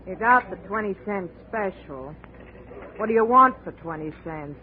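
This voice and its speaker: Woman voicing a sassy soda clerk, offhand, flat, tinny old radio sound. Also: medium pitch, normal speed, lightly acted. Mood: bored. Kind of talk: 1950s radio drama acting. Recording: tinny old radio broadcast, faint hiss.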